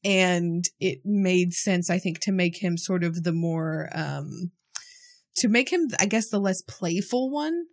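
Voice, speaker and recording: Woman, podcast co-host, casual chat, measured and thoughtful. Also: fairly low pitch. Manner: thoughtful, measured, some hesitations.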